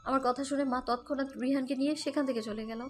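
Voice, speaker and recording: High-pitched, emotional young mother voiced by a woman. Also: fairly even intonation, fast talker, close to an everyday voice. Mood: stern, pained. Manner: emotional, scolding, dramatic. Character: hurt, defiant young mother narrating her own stormy romance. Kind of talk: first-person Bengali audio story narration and dialogue. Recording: music bed behind the voice.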